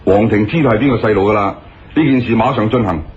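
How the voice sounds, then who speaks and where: A man's voice: lowish voice, fast, muffled recording, noisy background, close to an everyday voice. A man playing a brusque, sceptical police colonel in a Cantonese radio drama. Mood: commanding.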